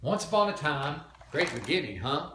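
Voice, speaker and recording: An older man reading a children's storybook aloud in an animated, grandfatherly style. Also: fairly high pitch, moderate pace. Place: indoors at home, children around. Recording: echoey room, background noise.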